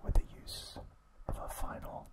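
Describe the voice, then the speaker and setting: A man hosting an ASMR video, narrating in a hushed, unhurried whisper. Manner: slow, hushed, unhurried trivia recap delivery.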